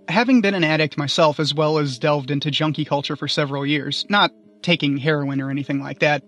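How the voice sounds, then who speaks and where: A man's voice: a little high, a bit bright. A man delivering scripted review commentary in an analytical manner, in long winding sentences. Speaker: guest reviewer.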